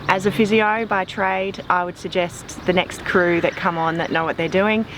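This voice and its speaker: Casting: female boat guest, slightly low voice, informal delivery, recorded outside with noticeable ambient noise. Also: fairly even intonation, moderate pace. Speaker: departing guest crew member. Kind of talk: casual spoken farewell. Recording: clear outdoor ambient noise.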